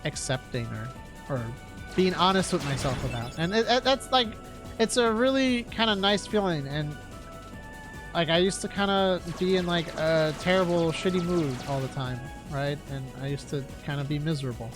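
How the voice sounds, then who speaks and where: Congested young man, rambling and halting. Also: fairly high pitch, expressive. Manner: halting, filler-heavy, reflective. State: congested nose. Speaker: streamer. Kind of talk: casual rambling stream chat. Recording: game music loud behind voice. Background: game music.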